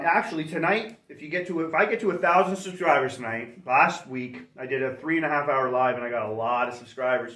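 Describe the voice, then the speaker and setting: A man's voice: a little high, a bit bright. A middle-aged man from Canada chatting casually on a livestream as host, upbeat and rambling, correcting himself as he goes. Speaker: channel host. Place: indoors near a fireplace. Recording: roomy echo, mic far off.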